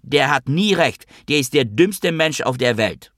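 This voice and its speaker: A man voicing a loud, bitterly jealous older mother who scolds and accuses in emphatic shouts. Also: medium pitch, expressive, measured pace, no echo, clean studio sound, pitched above the performer's own voice. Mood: furious.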